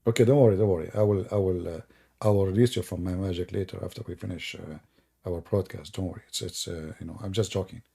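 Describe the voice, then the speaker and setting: A man's voice: deep voice, fairly even intonation, fast, vocal fry, mellow, breathy edge. A man with an Arabic accent, a native Arabic speaker, hosting a live stream in a sarcastic, teasing manner. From Middle East. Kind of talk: mocking commentary on a live stream.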